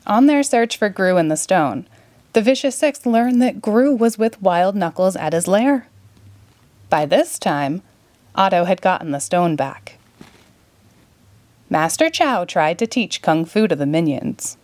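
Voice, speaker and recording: A woman narrating a children's story in a clear, expressive read-aloud manner. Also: medium pitch, unhurried, no echo. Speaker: storybook reader.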